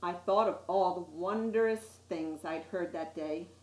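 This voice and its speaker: A woman reading a children's picture book aloud with dramatic, deliberate emphasis on key words. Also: lowish voice. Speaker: storytime reader. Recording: roomy, echoey sound.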